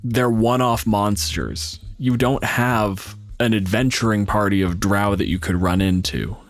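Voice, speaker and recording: A man's voice: lowish voice, fairly even intonation, a little slow, soft background music. A man chatting casually as a co-host, explaining his opinions in a blunt, sweary way. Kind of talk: casual opinionated panel chat.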